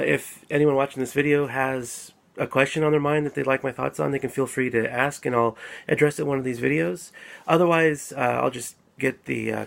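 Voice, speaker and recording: Guy with a gravelly voice still getting over a cold, talking it through slow and measured, lots of ums. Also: medium pitch, fairly bright tone. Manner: measured, explanatory, with frequent fillers and restarts. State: recovering from a cold. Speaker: apologetics speaker. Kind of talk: casual solo video talk. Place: at home.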